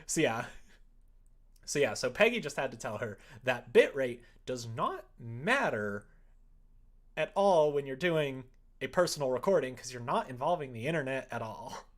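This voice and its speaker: A man's voice: medium pitch, very animated, normal speed. A man telling a rambling anecdote in casual livestream chat, acting out exchanges with an exasperated, storytelling manner. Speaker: live streamer.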